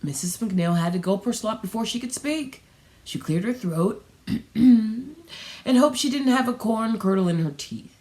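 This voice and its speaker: A woman reading aloud as a storybook narrator, in an expressive style meant for young children. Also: on the high side, unhurried. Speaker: storybook reader.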